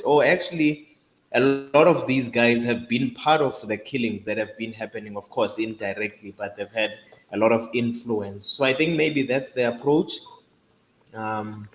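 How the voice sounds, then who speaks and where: Male panelist, earnest, lowish voice; roomy echoey audio with a faint noise floor. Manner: earnest, repeats points for emphasis. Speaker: discussion panelist. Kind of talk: casual live-stream discussion.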